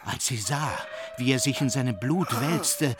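A man's voice: mid-range voice, expressive, measured pace, noisy background. A man narrating a scripted radio play as a dramatic, literary storyteller. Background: music under the narration.

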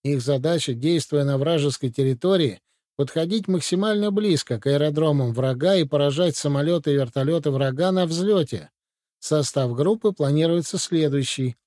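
A man voicing a brisk, commanding Soviet military adviser in an audiobook, in a matter-of-fact briefing manner. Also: fairly high pitch, dark tone, no echo, studio quality, close to an everyday voice. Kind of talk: audiobook reading.

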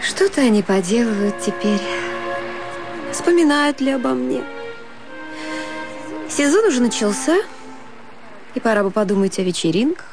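A woman's voice: on the high side, animated, moderate pace, pauses often, music in the background. An actress playing a wistful married woman in a radio drama, musing tenderly and longingly.

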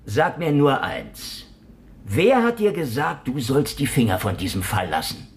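A man's voice: medium pitch, wildly swooping pitch, measured pace, slightly rough, heavily acted. An actor playing a sardonic, hard-drinking Russian private snoop in a noir radio play, wisecracking and needling as he goes. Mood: mocking. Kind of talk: noir radio drama acting with first-person narration. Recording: studio radio play with music underneath.

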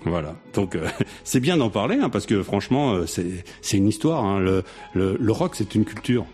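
A man's voice: fairly low pitch, sing-song, moderate pace, darkish tone, faint music behind. A man in his fifties hosting a casual radio chat, rambling and hesitant, joking with his guest. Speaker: radio host.

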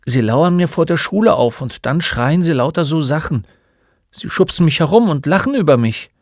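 A man's voice: on the high side, animated, moderate pace, dry recording, studio quality, pitched above the performer's own voice, natural voice. A man playing a shy, bullied schoolboy in a storybook, speaking in a meek, hesitant way. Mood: timid. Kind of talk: storybook character voice.

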